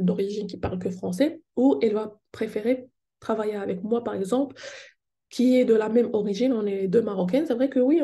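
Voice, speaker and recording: A woman's voice: mid-range voice, warm tone. A Moroccan woman whose first language is Moroccan Arabic, speaking with a Moroccan French accent as she explains business tips and poses questions to her viewers. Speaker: online business coach. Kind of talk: teaching tips to the camera.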